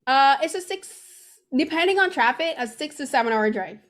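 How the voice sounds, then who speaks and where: A woman from Barbados, hosting a casual podcast chat and talking in an animated, rambling way full of fillers and restarts. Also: high-pitched, moderate pace. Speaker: podcast host. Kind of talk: casual chat about a TV drama.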